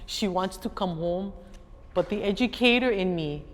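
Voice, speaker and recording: A middle-aged woman with a Hawaiian accent, speaking as an educator and guest speaker. She tells a story to an audience in an animated way and acts out other people's voices. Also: medium pitch, normal speed. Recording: echoey hall, faint background noise.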